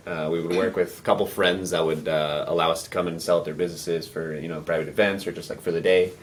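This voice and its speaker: Deep voice dude talking fast, rambly and animated, little hum behind. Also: male voice. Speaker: guest, restaurant owner. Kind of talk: casual storytelling.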